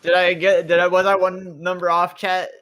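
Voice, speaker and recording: A man's voice: sits high, level tone, moderate pace, a bit bright, a little hiss or hum. A man chatting casually with friends during a tabletop roleplay session. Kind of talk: casual group chat during an online game.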